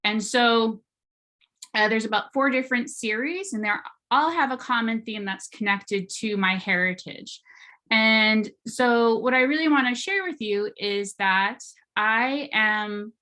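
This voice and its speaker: A woman's voice: a little high, unhurried, sharp tone. An American woman artist talking on a panel, earnest and reflective, with many fillers and restarts. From United States. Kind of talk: panel talk presenting her art.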